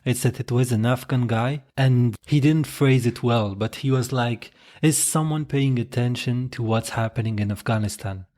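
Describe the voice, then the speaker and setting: A man's voice: mid-range voice, normal speed, few pauses, darkish tone. Male podcast host, polite and respectful, rambling questions, mid-range voice. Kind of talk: interview host.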